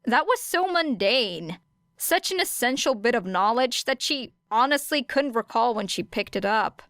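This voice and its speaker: A woman's voice: fairly high pitch, animated, normal speed, a bit bright, no echo, studio quality. A woman reading scripted fiction as an audiobook narrator.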